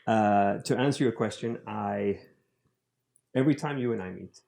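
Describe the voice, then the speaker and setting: A man with a low voice hosts a relaxed interview with a friend. His tone is dark and mellow, and he sounds earnest as he thinks out loud. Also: moderate pace, vocal fry. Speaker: podcast host. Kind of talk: casual conversational interview.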